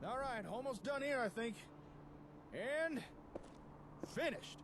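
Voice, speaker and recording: Man playing a folksy, high-voiced settlement organiser. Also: expressive, slow pace, full character voice. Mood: folksy, satisfied. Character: folksy, genial male settlement organiser explaining building plots. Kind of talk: scripted video game dialogue. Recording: clear background ambient noise.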